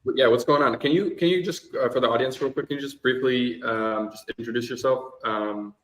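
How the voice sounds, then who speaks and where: A man's voice: medium pitch, a little fast, fairly bright tone. A man hosting a podcast, speaking hesitantly with many filler sounds as he introduces the guest. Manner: hesitant, many ums and uhs. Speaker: podcast host. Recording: echoey room.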